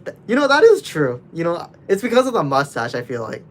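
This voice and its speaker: A man's voice: fairly high pitch, wildly swooping pitch, a little fast. A nineteen-year-old man casually chatting with his livestream viewers, rambling loosely with many restarts.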